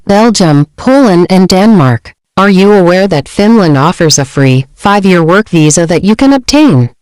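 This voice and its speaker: A woman hosting a channel, reading an informational script in a steady, measured way. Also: on the low side, clean tone, no echo, poor audio. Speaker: channel host.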